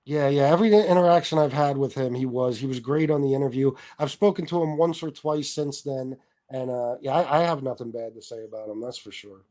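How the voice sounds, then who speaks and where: A man in his forties hosting a casual interview chat, relaxed and agreeable, reading out viewer questions. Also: medium pitch, fairly quick. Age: 40s. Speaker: host.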